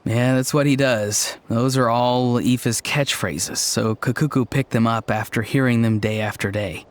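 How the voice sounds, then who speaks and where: A man's voice: mid-range voice, fairly even intonation, measured pace, natural voice. A man voicing a mild, friendly young man in a video game, with a thoughtful, slightly hesitant manner. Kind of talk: video game character acting.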